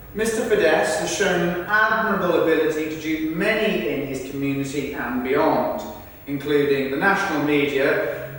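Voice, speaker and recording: A man performing a rehearsed reading of verbatim texts in a formal, deliberate, projected delivery. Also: fairly high pitch, natural voice. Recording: echoey hall, far from mic, faint noise.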